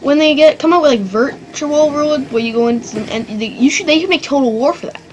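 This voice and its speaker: A woman with a high, bright-pitched voice narrates an online strategy battle in an offhand, rambling way. She gets excitable, drops the odd swear and slips into goofy bits, with a faint hiss of noise under her. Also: a little fast, muffled recording. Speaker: gaming channel host. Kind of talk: casual strategy game commentary.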